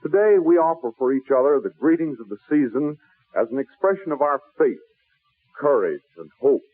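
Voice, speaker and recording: Tinny vintage radio recording, man, husky, formal solemn oratory, sweeping intonation, 1940s announcer. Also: a little high, sing-song, moderate pace.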